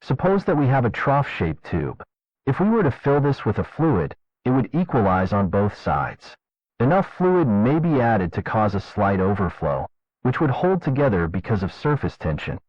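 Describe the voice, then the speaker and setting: A man narrating a fictional scientific government report in a formal, measured, clinical manner. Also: mid-range voice, sing-song, a little mellow, no room sound. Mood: formal, detached. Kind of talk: scripted documentary narration, analog horror. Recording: dull lo-fi narration track.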